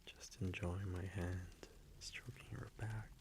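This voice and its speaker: A man playing a tender, blunt vampire boyfriend in an intimate ASMR roleplay, murmuring softly and haltingly close to the mic. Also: deep voice, fairly even intonation, background noise, full character voice. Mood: firm, affectionate. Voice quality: breathy, gravelly murmur, often whispered. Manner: soft, halting, affectionate, with small pauses. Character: tender, blunt young male vampire boyfriend, teasingly possessive. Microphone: close microphone.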